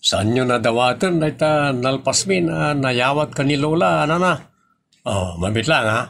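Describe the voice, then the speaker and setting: A man plays a patient, kindly aid-giver with an Ilocano accent in a comedy audio drama. His gravelly voice swings widely in pitch, with small conversational hesitations. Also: animated, normal speed, a bit bright, slight background noise. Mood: helpful, amused. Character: kindly, patient male charity vlogger giving aid.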